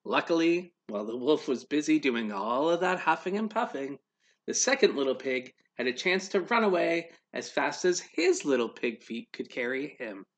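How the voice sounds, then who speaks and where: A man reading a storybook aloud to children in an expressive, teacherly way, putting questions to his listeners. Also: on the high side. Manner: pauses to quiz young listeners.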